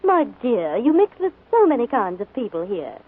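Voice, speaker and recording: A proud little stocking from a vintage radio advertisement gossips in a high, genteel voice. The voice swoops up and down with great animation. It comes through thin and tinny, like an old broadcast. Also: female voice, very animated, moderate pace. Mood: gossipy, marvelling. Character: chatty, proud talking nylon stocking, well cared for. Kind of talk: 1940s radio commercial acting. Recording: tinny, narrow-band 1940s radio recording.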